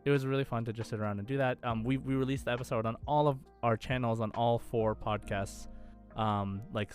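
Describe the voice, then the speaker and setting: Male podcast host, mid-pitched, casual and enthusiastic, light music underneath.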